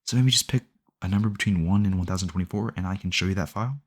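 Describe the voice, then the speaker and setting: Casting: male actor as yandere boyfriend for ASMR roleplay. Low voice, doting, possessive, rambling and earnest in self-justification. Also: rapid-fire. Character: obsessive, possessive yandere boyfriend, sweetly cheerful kidnapper. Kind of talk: ASMR audio roleplay addressed to the listener.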